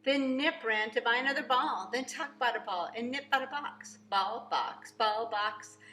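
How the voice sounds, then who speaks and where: Woman, preschool read-aloud, bright voice, theatrical emphasis, echoey room, faint background noise. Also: on the high side, a little slow. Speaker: preschool teacher reading aloud. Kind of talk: expressive children's storybook read-aloud.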